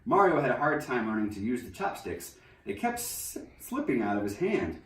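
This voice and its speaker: A man reading a children's novel aloud in an expressive storybook narration style. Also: medium pitch, moderate pace. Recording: roomy echo, distant microphone.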